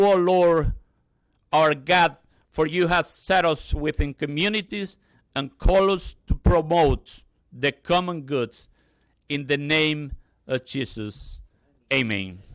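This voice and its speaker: A man whose first language is Spanish leads a prayer at a public ceremony as the pastor. He speaks in a slow, measured, solemn way, with a middle-pitched voice. The sound is close and dry, with no room echo. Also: fairly bright tone.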